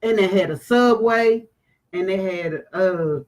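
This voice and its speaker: A woman telling a travel story in casual chat, animated and dramatic, jumping to high exclamations. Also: a little slow. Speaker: vlogger.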